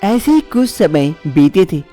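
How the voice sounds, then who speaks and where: A man's voice: high-pitched, big pitch swings, unhurried, dark tone, faint music behind. A man reading scripted narration as the storyteller of a moral tale.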